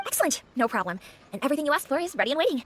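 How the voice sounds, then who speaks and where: A woman's voice: high-pitched, very animated, very fast, a bit bright, a little hiss or hum. A woman playing a friendly, chatty neighbourhood restaurant owner in a video game, obliging and confiding in manner.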